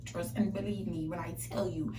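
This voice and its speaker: A woman's voice: lowish voice, steady tone, moderate pace. Youngish lady doing sweary motivational vlog talk, emphatic, bit far from the mic, lots of room echo. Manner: blunt, profane, emphatic, repeats phrases. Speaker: self-help vlogger. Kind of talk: casual motivational monologue. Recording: echoey, distant mic, background noise.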